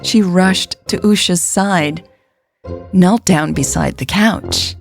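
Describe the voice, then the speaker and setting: Female storyteller, lower register with some creak, confiding first-person romance narration over faint music. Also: slow. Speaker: podcast storyteller.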